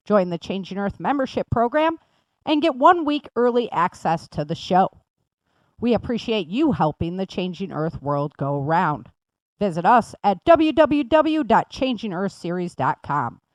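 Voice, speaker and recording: A woman's voice: mid-range voice, no room sound. A woman, the creator of the series, reading scripted promos in an earnest, urgent manner.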